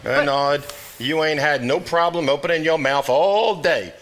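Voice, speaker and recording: A man's voice: fairly high pitch, unhurried, fairly bright tone. A man acting a blunt, needling Southern man with a sardonic drawl in a live stage play. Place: theater stage before a live audience.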